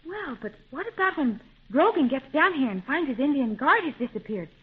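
High, animated radio girl adventurer. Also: fairly quick. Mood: anxious questioning. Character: spirited, alert young girl adventurer, Jean. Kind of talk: 1930s radio serial acting. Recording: tinny, narrow 1930s radio recording.

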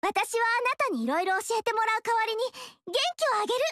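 A woman's voice: very high-pitched, expressive, fast talker, no echo. An actress voicing a cheerful, friendly young female monk in a game. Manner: bright and friendly. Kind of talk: game dialogue. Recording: dry studio voice.

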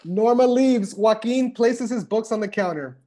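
A woman's voice: a little high, measured pace, warm tone. A woman reading scripted stage directions as narrator for a comedic table read. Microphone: video call.

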